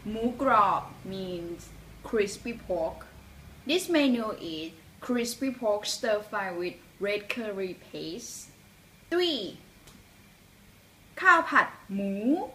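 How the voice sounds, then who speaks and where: A woman's voice: medium pitch, sharp tone, a little hiss or hum. A young woman with a Thai accent teaching food words to the camera in a cheerful, careful lesson, filmed at desk distance in a small room. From Thailand.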